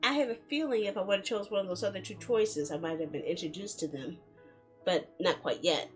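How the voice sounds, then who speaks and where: Female game streamer, playful, medium-pitched, casual read-aloud and chat over faint music. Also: fairly quick. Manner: giggly, playful, chattering to herself.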